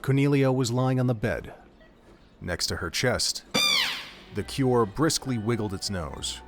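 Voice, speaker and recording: A man's voice: mid-range voice, animated, a little slow, music in the background. A man reading scripted third-person narration as the storyteller of an audio drama.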